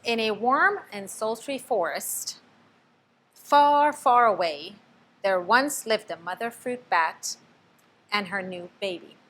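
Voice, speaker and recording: A woman reading a children's picture book aloud, expressive, stopping to ask the listener questions. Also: medium pitch, slow pace, a little hiss or hum, poor audio. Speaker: storybook reader.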